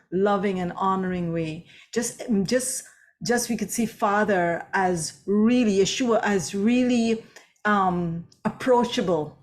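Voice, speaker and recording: A woman interviewing an author about her faith, emotional, laughing often and asking rambling questions. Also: mid-range voice.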